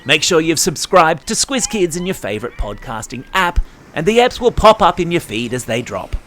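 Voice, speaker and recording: A man's voice: on the high side, big pitch swings, normal speed, a bit bright. An Australian man hosting a news podcast for children, reading scripted stories in a chatty, playful way and speaking straight to young listeners. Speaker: podcast host.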